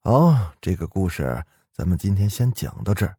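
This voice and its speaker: A male storyteller delivers dramatic horror narration. His voice sits fairly low and moves expressively, holding suspense, with quiet music underneath. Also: big pitch swings, normal speed, husky. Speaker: host and storyteller.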